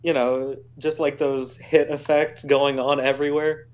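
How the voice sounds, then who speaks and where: A man's voice: mid-range voice, flat delivery, unhurried. A man in a casual fan debate on voice chat, reasoning things through informally. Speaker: voice chat participant.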